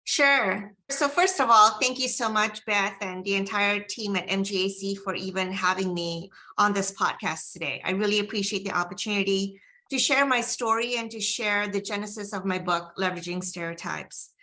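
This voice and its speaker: A woman's voice: medium pitch, moderate pace, few pauses, bright, forceful tone. A middle-aged woman from Malaysia, speaking earnestly as a guest on a podcast interview.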